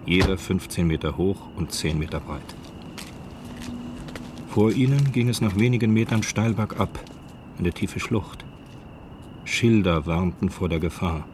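A man's voice: deep voice, unhurried, pauses often, noisy background. A man as a sober storyteller, reading scripted literary narration of a crime story in a radio drama. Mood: measured.

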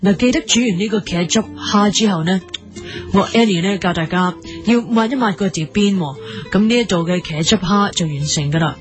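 A woman playing a matter-of-fact TV cookery teacher in a comedy parody, explaining step by step in deadpan Hong Kong Cantonese. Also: mid-range voice, normal speed, soft background music, close to an everyday voice.

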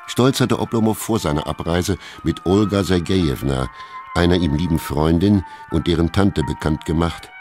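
A man reading scripted literary narration for a radio drama in a measured, understated manner. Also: extremely low pitch.

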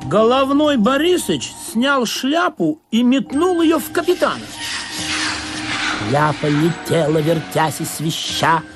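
A man narrates a radio play with theatrical expression. His voice is high and animated, set over clear music. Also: slow. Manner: expressive, dramatic. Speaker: narrator and first-person character.

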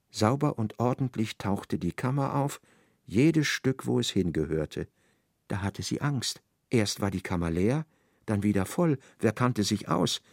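Male drama narrator, animated intonation, creaky texture, intimate dry studio sound. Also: medium pitch, dry recording. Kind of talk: literary radio play narration.

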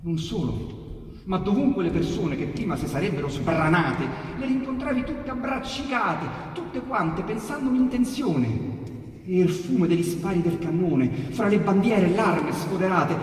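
Roman guy doing dramatic poetry storytelling, kinda high voice, far from the mic in a boomy hall. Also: expressive, a little hiss or hum. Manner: dramatic, with pauses and emphatic repetitions. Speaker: actor reciting dialect poetry. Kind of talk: theatrical storytelling recitation of verse. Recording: echoey hall, distant mic, piano accompaniment. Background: piano music.

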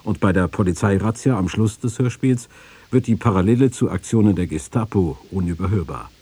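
Second documentary voice: man, low and warm, husky edge, formal, measured reading. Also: no echo. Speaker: radio feature speaker and quotation reader.

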